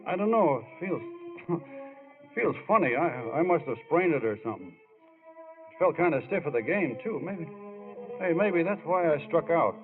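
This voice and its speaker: An old, narrow-band radio recording of a good-natured star athlete. His voice sits a little high and swings in pitch, with a boyish, halting, plain-spoken way of talking, in 1940s radio drama style. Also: very animated, music in the background. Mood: uneasy. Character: good-natured, bashful star ballplayer, married man.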